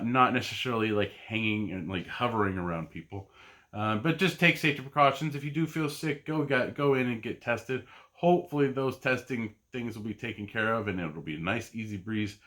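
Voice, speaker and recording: A middle-aged man chatting casually on camera with a bright, forward tone. He rambles, stalling on ums and uhs and restarting his sentences often. His pitch sits in an ordinary middle range for a man. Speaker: podcast host and comic buyer. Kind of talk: casual solo vlog chat.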